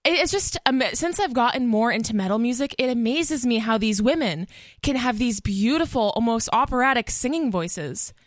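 A woman's voice: a little high, expressive, moderate pace, dry recording, studio quality. A woman chatting casually and enthusiastically, agreeing and adding her own remarks. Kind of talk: casual chat.

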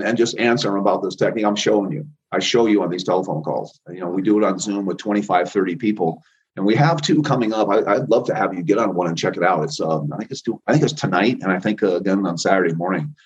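A man's voice: on the low side, quick pace, muffled recording. A man in his fifties, a guest on a call, talking emphatically and repeating himself with great confidence. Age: 50s. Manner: emphatic, repetitive, self-assured. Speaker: guest, energy healer. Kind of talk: casual interview talk.

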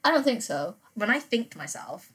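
A woman in her twenties with a Filipino-English accent, chatting casually and telling animated stories with many fillers. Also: mid-range voice, fairly quick, crowd noise. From Philippines. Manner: animated storyteller, lots of fillers. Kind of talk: casual chat.

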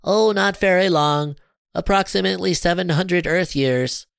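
Storybook alien scientist, male reader: small, earnest and fretful, formal delivery with some creak, close dry sound. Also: sits high, moderate pace, fairly bright tone, no room sound, voice pushed up. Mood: matter-of-fact. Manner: formal, stilted phrasing.

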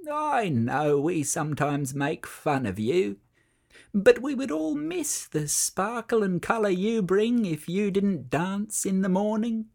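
A narrator voicing Barney, a gentle, kind sheep friend, speaking softly and quietly. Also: mid-range voice, animated, slow, vocal fry, pitched above the performer's own voice. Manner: soft, kindly and quiet.

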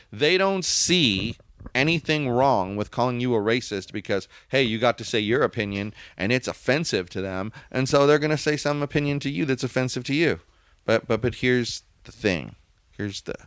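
A man's voice: mid-range voice, expressive, normal speed, a bit bright, clear, resonant tone, dry recording, clean studio sound. A man co-hosting a casual podcast, arguing his points emphatically and bickering with his co-host. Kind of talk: casual opinionated chat.

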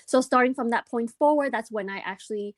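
Higher-voiced woman with Chinese roots. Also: fast talker, no echo, clean studio sound. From China. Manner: easygoing, many fillers, laughs often. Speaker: guest, business co-founder. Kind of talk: casual interview chat.

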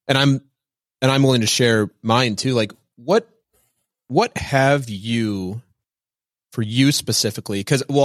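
A man's voice: lowish voice, dry recording. Man, podcast host, enthusiastic, chatty, dry close sound. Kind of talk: podcast interview.

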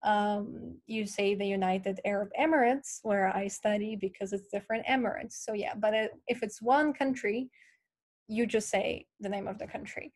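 A woman's voice: medium pitch, steady tone, normal speed. A young woman with a Ukrainian accent, guesting on a casual talk show. She explains things earnestly and at length, with plenty of fillers along the way. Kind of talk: casual interview chat.

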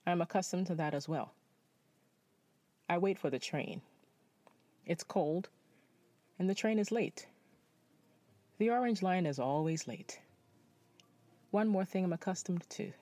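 A young man from Nepal telling a personal story in spoken-word style, measured and reflective. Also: high-pitched, animated, mellow, no echo.

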